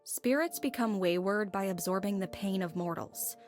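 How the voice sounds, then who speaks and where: A woman's voice: mid-range voice, moderate pace, faint music behind, close to an everyday voice. A woman playing an earnest, introverted spirit researcher in a video game, with a curt, bookish manner. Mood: earnest, resolute. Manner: straightforward, curt, bookish phrasing. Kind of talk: video game champion voice lines.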